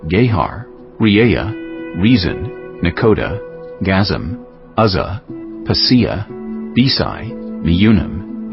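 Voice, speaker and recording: A man narrating scripture for an audiobook in a measured, formal manner. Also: on the low side, vocal fry. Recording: dull lo-fi audio under prominent music.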